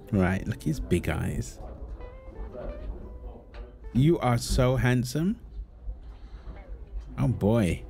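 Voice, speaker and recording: A man playing a calm, teasing, reassuring young husband and father in a natural, intimate audio roleplay, talking gently to his partner and baby. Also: medium pitch, vocal fry, full character voice. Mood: gentle.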